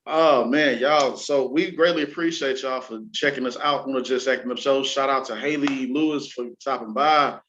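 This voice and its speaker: A man's voice: mid-range voice, moderate pace, hardly any pauses, noisy background. A man hosting a casual entertainment chat show, talking in an informal, slangy, exclamatory way and at times reading items aloud. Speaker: talk show host. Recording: dull, lo-fi sound.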